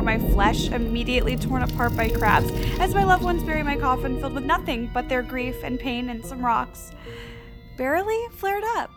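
A guileless woman in her twenties with a high voice. She is an earnest, morbid best friend in a comedic audio drama, with music clearly playing behind her. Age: 20s.

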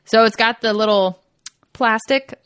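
A woman chatting casually as co-host, explaining things in halting phrases while she searches for the right words. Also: on the high side, a bit bright, clear, resonant tone, dry recording, studio quality. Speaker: co-host, new shooter. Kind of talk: casual chat.

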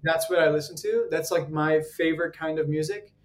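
A man's voice: on the high side, a little fast. A man in his twenties, a singer and guest, chatting casually with many fillers and laughs. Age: 20s. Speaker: guest performer. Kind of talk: casual interview chat.

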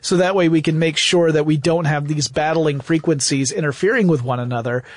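A man's voice: fairly high pitch, brisk, dry recording. Man explaining things conversationally, close dry sound. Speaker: podcast co-host.

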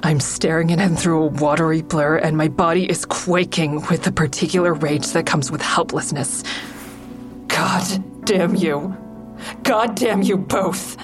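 A woman's voice: low voice, measured pace. An actress playing a bitter, distraught woman who narrates her own police questioning in a raw, profane way. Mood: seething. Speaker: narrator and lead character in an audio drama. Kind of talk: first-person dramatic narration and dialogue. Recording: music under the voice.

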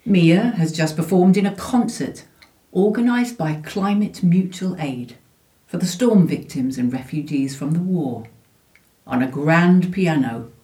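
A woman's voice: low voice, dark tone, low-quality recording. A woman reading scripted scene-setting narration for an audio play.

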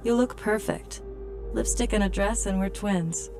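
An actress voicing an obliging phone assistant in an audio drama, reading out messages in a neutral, clipped way. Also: female voice, medium pitch, unhurried, natural voice. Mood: neutral, efficient. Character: obliging female phone assistant AI, calmly efficient. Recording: music playing behind.

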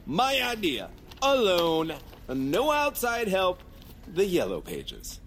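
Boastful bounty hunter, wildly swooping pitch. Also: male voice, wildly swooping pitch, takes it slowly, a bit bright, full character voice. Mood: smug excitement. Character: self-satisfied, excitable male bounty hunter. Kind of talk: audio drama acting.

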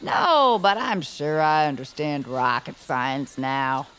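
A woman playing an eccentric, excitable small inventor creature with a creaky voice and theatrical, self-important phrasing, in a cartoonish audio drama. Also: low voice, slow pace, heavily acted. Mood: smug, grandiose. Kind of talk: cartoonish audio fiction acting.

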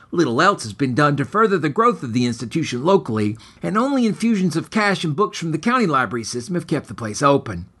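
A man narrates a comic horror tale with mock-serious formality. His voice is slightly high and husky, and it moves up and down theatrically as he reads. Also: a bit bright. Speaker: author reading his own short story, podcast host. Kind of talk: scripted dramatic narration of a comic horror story.

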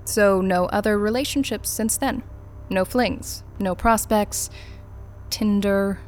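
A woman plays an unflappable corporate interrogator in an audio drama. She speaks at a middle pitch with cool, clipped formality and detachment, over faint music. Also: takes it slowly, darkish tone, natural voice. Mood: probing, detached. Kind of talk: naturalistic audio drama acting.

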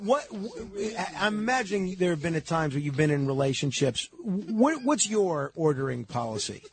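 A man talking on the radio in a hesitant, halting, earnest conversational way. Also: high-pitched, very animated. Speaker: radio host.

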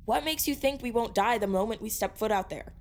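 A woman's voice: fairly high pitch, fairly quick, lightly acted. A young woman playing a skeptical, sarcastic, reluctant girl with an exasperated, challenging manner in an audio drama.

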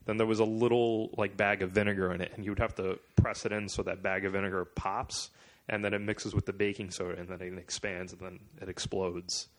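A man's voice: low-pitched, flat delivery, a little fast. A young man co-hosting a podcast, joking with friends in a teasing, playfully boastful way. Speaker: podcast co-host. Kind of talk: casual banter among friends.

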